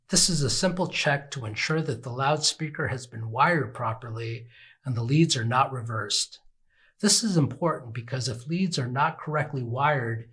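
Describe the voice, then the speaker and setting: An older man with a slightly husky voice gives scripted instructional narration in an orderly, explanatory manner. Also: medium pitch, fairly bright tone. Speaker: product demonstrator.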